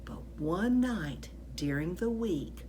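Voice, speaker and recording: A woman reading a children's story aloud in an expressive, deliberate, dramatic way. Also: fairly low pitch, a little hiss or hum. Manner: dramatic, deliberate phrasing, drops into whispers. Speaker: school staff reader of children's books.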